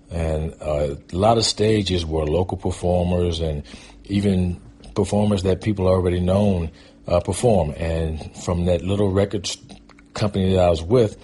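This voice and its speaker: A man's voice: deep voice, dark tone. A middle-aged American man telling his own life story in a reflective, conversational, casual way. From Michigan, USA. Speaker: guest storyteller and performing artist. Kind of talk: personal storytelling, casual reminiscing.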